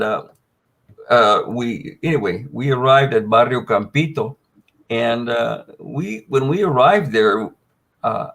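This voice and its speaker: A man's voice: mid-range voice, fairly bright tone. An older man, telling a long personal story as a guest on a panel, with many fillers and restarts. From United States.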